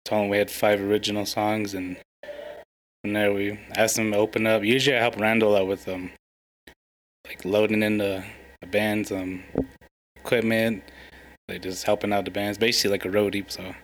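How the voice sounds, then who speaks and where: Young male musician, flat low voice, soft trailing delivery, faint noise. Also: moderate pace, long pauses, low-quality recording. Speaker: band member. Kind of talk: casual interview answers.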